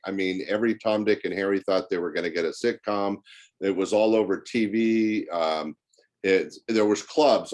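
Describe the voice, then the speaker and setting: Older guy w/ deep low voice, chatty podcast guest telling stories from his club days. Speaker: podcast guest, former comedy club owner. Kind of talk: conversational interview storytelling.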